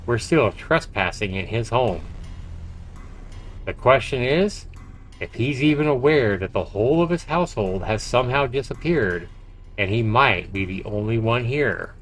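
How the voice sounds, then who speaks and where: A man's voice: mid-range voice, animated, unhurried, fairly bright tone, a little hiss or hum. A man livestreaming a game, reading its narration aloud dramatically with casual, profane asides. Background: faint game music.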